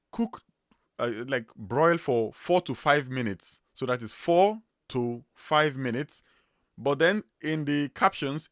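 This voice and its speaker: A man's voice: mid-range voice, a bit bright, no room sound, clean studio sound. A man with a strong Nigerian accent hosting a casual livestream, chatty and loose with many fillers and restarts. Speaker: livestream host.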